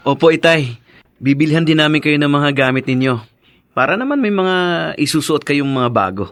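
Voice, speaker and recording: An actor playing a caring young husband and dutiful son in a radio drama, gentle, polite and reassuring. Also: male voice, a little high, expressive, normal speed, no room sound. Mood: cheerful. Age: young adult. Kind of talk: Tagalog radio drama acting.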